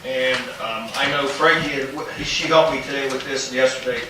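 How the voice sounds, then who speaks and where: A man's voice: medium pitch, moderate pace. A man chairing a city council safety meeting, talking hesitantly with many ums and restarts. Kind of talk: public meeting discussion. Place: council chamber. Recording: very echoey hall, distant, some noise.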